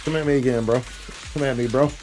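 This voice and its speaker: A man commentating live on a game in a loose, slangy, playful way. His voice sits at a medium pitch, and he repeats phrases and drops in little vocal sound effects. Light music plays behind him. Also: fairly even intonation, fast, darkish tone. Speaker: game livestreamer. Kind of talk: casual live gameplay commentary.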